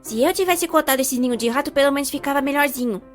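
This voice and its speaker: A woman's voice: high-pitched, fast, faint music behind, pitched above the performer's own voice, natural voice. A woman voicing a cheeky, sassy little girl with a Brazilian accent in a cartoon story, mouthy and defiant. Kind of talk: animated storytime fandub.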